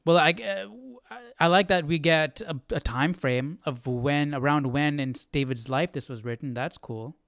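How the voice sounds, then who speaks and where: A man hosting an informal Bible study, teaching casually and thinking aloud with halting, repeated phrases. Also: a little high, a bit bright, no room sound. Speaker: host of a Bible study live stream.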